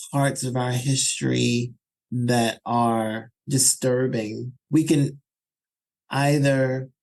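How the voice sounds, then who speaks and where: A middle-aged man, a scholar and interview guest, answering thoughtfully with pauses and small restarts. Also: mid-range voice, a little mellow, low-quality recording.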